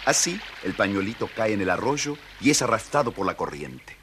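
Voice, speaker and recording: A man's voice: on the low side, sing-song, normal speed, a bit bright, slight background noise. A man with an Argentine accent narrating a scripted children's story as the storyteller.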